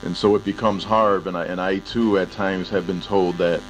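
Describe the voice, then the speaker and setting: A man's voice: deep voice, steady tone, normal speed, slight background noise, rough recording. A man hosting a radio interview, chatting casually and often restarting his sentences. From Puerto Rican, Chicago. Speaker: radio host.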